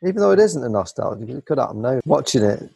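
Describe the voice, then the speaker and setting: A man's voice: mid-range voice, very animated, quick pace, gravelly, dark tone, husky, dry recording. A middle-aged man joking in dry casual banter. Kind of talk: casual chat.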